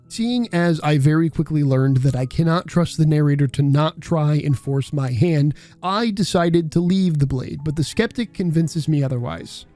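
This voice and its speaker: A male video essayist with a slightly high voice narrates from a script. He sounds conversational and irreverent, swearing freely, over a soft music bed.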